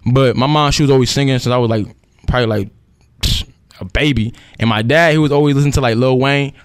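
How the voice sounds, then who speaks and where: Fast-talking young man, smooth and slangy. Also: mid-range voice, no echo, clean studio sound. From Cuba. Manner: slangy, loose, says "you feel me" often. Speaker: guest rapper. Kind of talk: casual radio interview. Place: radio studio.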